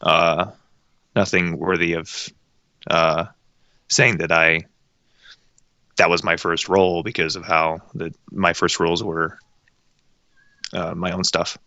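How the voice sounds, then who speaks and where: A young man in his late teens talks in a casual interview, halting and modest, often pausing on ums. His voice is very low yet bright and crisp, and the recording is dry and close. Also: flat delivery, no room sound. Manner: hesitant, modest, many ums. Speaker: guest voice actor and director.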